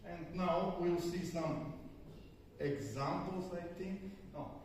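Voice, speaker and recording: Halting Bulgarian-accented man in a hall. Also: high-pitched, a little mellow, slight background noise. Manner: halting, many fillers and stutters. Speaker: psychotherapist speaker. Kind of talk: conference talk. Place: large conference hall. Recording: distant, echoey hall recording.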